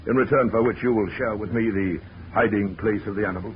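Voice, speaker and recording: An actor playing a pompous, menacing big-game hunter villain in a 1940s radio drama. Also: male voice, lowish voice, steady tone, fast talker, natural voice. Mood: scheming. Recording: muffled old radio recording with hiss.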